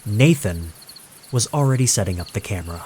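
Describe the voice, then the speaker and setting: A man reading a scripted horror story in a measured, suspenseful way with dramatic pauses. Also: on the low side, expressive, darkish tone, a little hiss or hum. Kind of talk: scripted creepypasta narration.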